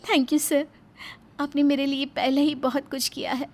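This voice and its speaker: A woman's voice: high voice, level tone, moderate pace, soft background music, natural voice. A woman playing a wronged, earnest young daughter-in-law in a dramatised moral story, speaking in a polite, pleading manner. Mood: gentle, polite.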